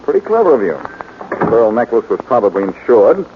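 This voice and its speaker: An actor playing a brash, quick-witted, confrontational adventurer sleuth in a 1940s radio drama. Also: male voice, mid-range voice, very animated, close to an everyday voice. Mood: accusing. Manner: wry, confrontational, punchy. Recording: dull, noisy 1940s radio recording.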